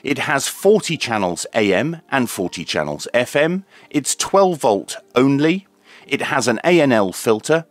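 A man presenting a scripted radio review in a deadpan, tongue-in-cheek manner. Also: medium pitch, a little slow, no room sound, soft background music. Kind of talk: scripted product review narration with dry jokes.

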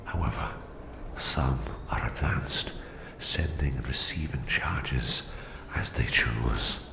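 Menacing russian supervillain for asmr roleplay, super deep gravelly half-whisper, cold and bossy, bit of echo. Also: male voice, background noise, heavily acted. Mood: smug, persuasive. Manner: slow, deliberate, menacing, condescending. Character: menacing Soviet psychic supervillain, male, cold and domineering.

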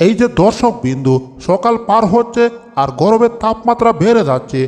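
A man's voice: high-pitched, lively intonation, brisk, darkish tone. A man playing a bungling comic TV weather presenter in a Bengali cartoon dub, announcing in a mock-formal way. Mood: mock-serious. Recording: long reverb over background music.

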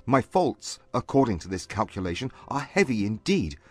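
An actor playing a proud, wounded gentleman suitor in a dramatised audiobook, speaking with formal, emphatic diction. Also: medium pitch, very animated, normal speed, no echo. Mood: indignant.

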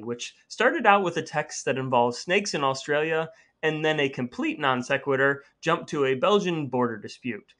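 A man's voice: on the high side, pushed and bright. A man co-hosting a podcast in casual banter, with playfully mock-formal phrasing. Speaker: podcast co-host.

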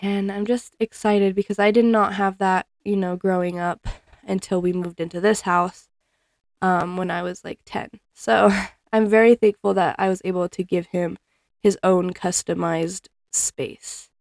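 A young woman talking casually at home while she folds clothes. She rambles in a friendly way, full of ums and small laughs. Her voice sits at a middle pitch, and the recording is dry, with almost no room sound. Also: fairly even intonation, a little slow, darkish tone, no room sound. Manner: chatty, laughs often, frequent ums. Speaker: cleaning vlogger. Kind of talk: casual chat while folding laundry. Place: living room at home, indoors. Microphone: fixed camera across the room.

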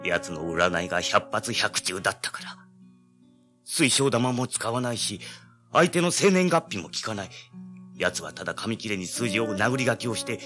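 An actor playing an old village man in an audio drama, recounting dreadful events gravely in old-fashioned speech. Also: on the low side, expressive, moderate pace, sharp tone, faint music behind. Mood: grave, fearful.

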